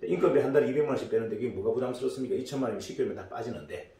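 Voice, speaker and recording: A hoarse middle-aged man giving a casual business lecture as a story, rambling with many fillers and restarts. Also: medium pitch, rapid-fire, warm tone. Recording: echoey room, distant mic.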